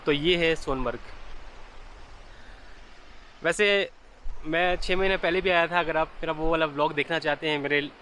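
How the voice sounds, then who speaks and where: A man in his thirties vlogging outdoors in the mountains, holding the camera at arm's length. He talks to camera in a chatty, explanatory way, and his voice sits a little high for a man. Faint outdoor noise sits under his voice. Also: steady tone, moderate pace, long pauses, sharp tone, poor audio. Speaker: travel vlogger. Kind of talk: casual travel vlog narration. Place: outdoors in mountain valleys and a memorial park. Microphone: handheld camera at arm's length.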